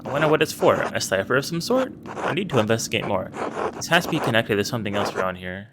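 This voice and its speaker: A man talks through a narrative game in a casual, curious way, wondering aloud as he goes. His voice sits at a middling pitch and moves around a lot. A clear background noise runs under the recording. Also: big pitch swings, fast talker. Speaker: let's play narrator.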